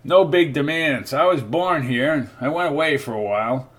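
A man's voice: on the high side, moderate pace, fairly bright tone. A man doing a folksy old small-town druggist. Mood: easygoing. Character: elderly male small-town drugstore owner, easygoing.

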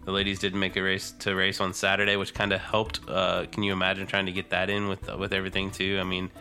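Congested, nasal man with a low voice. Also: monotone, quick pace, bright, forceful tone, soft background music. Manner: informal, peppers talk with "dude". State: congested from a cold. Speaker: podcast co-host. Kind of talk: casual chat about motorcycle racing.

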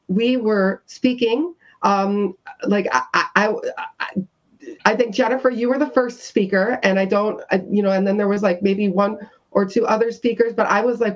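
A woman with a loud voice, a guest activist telling her story in a casual radio discussion, with many restarts. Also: medium pitch, muffled recording.

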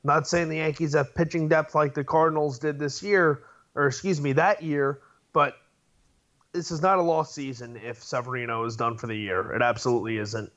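A man's voice: a little high, steady tone, moderate pace, a bit bright, highs cut off. A man co-hosting a sports podcast, talking in an emphatic, opinionated way. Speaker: podcast co-host. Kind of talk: casual sports podcast chat.

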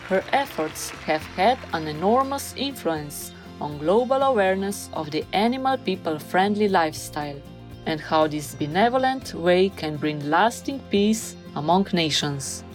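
A woman reads scripted programme narration in a formal, reverent manner. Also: on the low side. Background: faint music.

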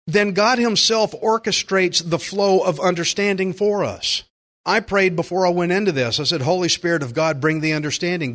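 A man's voice: a little high, a bit bright. A man preaching a conversational Bible-teaching sermon as a pastor, plainspoken and emphatic, repeating phrases for stress.

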